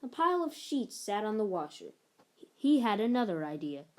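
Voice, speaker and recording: Expressive woman reading a children's story. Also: mid-range voice, normal speed. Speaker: story reader. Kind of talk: children's picture-book read-aloud, scripted narration.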